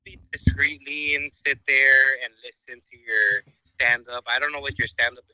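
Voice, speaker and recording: Man on video call, very bright, smooth voice, flirty teasing banter, dry sound. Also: medium pitch, steady tone, moderate pace, no room sound, poor audio. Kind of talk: casual banter.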